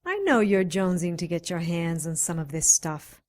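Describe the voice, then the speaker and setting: A woman's voice: fairly low pitch, very animated, moderate pace. A woman playing a self-assured, teasing doctor of magic and science in a radio drama, flirtatious and persuasive. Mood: teasing, assertive.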